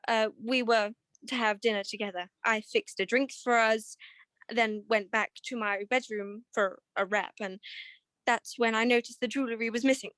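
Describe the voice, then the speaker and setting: A woman plays a well-to-do wife in a 1940s radio mystery. Her high voice is genteel but flustered and hesitant, evasive and anxious, recorded close and dry. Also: fairly even intonation, moderate pace, fairly bright tone, no echo, lightly acted. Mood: genteel, explaining. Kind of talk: 1940s radio detective drama acting.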